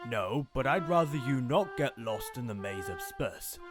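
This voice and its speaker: A man's voice: medium pitch, animated, unhurried, music in the background, lightly acted. Man playing sly animal villain, swooping voice. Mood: sly, haggling. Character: sly, greedy male animal villain in the forest. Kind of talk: audio drama acting.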